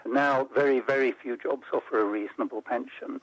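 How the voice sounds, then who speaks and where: An older man from the United Kingdom, a guest on a radio interview, explaining policy in a measured, step-by-step way. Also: medium pitch, fairly even intonation, no room sound, rough recording.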